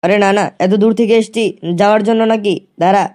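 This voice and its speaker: A woman's voice: mid-range voice, steady tone, fast, a little mellow, close to an everyday voice. A woman voicing a pushy, crude young village club leader, male, in a comic cartoon. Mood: insistent.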